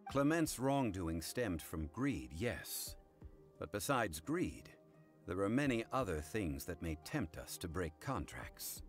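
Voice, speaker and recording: An actor playing a calm, learned, unyielding gentleman in a video game, speaking in a measured, formal way. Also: deep voice, over music, heavily acted. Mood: composed, probing. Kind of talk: English game voice acting.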